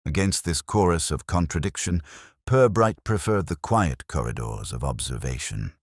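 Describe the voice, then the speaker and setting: A man narrating a scripted comic mystery story in a measured, wry, literary way. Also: extremely low pitch, animated, dry recording, clean studio sound. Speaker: audiobook narrator.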